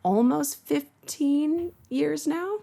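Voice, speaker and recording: A woman's voice: high-pitched, extremely slow, vocal fry, dark tone. An American woman from California, chatting casually as an interview guest. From California, north of San Francisco, USA. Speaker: guest, voice actor. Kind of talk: casual chat.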